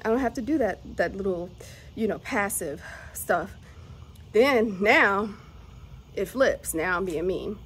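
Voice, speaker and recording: A woman's voice: mid-range voice, animated, a little slow, long pauses, fairly bright tone, slight background noise. A woman, talking candidly to camera about motherhood and self-growth, laughing often. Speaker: vlogger, mother of three. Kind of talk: casual reflective monologue.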